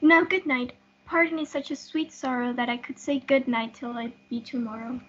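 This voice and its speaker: A young woman as a high-voiced girl in love. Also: steady tone, moderate pace, a bit bright, a little hiss or hum. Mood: eager. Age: teenager. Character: lovestruck, eager young girl, Juliet. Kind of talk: amateur reader's theatre. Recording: dull lo-fi audio.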